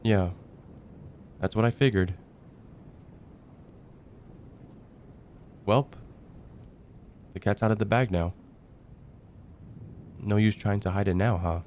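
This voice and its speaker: A man playing a lovestruck young heartbreaker friend in an ASMR roleplay, speaking in an earnest, halting, stammering way. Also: fairly low pitch, fairly even intonation, a little mellow, slight background noise, lightly acted. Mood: flustered, resigned. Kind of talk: ASMR roleplay, intimate dramatic monologue.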